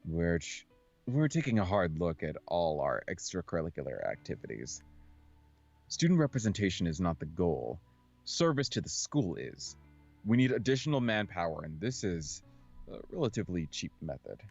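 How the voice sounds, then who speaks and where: Visual novel role: gloomy middle-aged male school official, voiced by a man, low-pitched, formal, matter-of-fact, dull audio. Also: sing-song, moderate pace, vocal fry, a little mellow, exaggerated voice. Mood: stern, businesslike. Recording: dull audio with music underneath.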